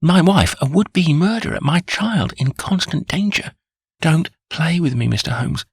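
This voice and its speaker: A man reading aloud and voicing a distraught husband, pleading urgently in a husky voice. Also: mid-range voice, moderate pace, no room sound, clean studio sound, voice pushed up. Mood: desperate.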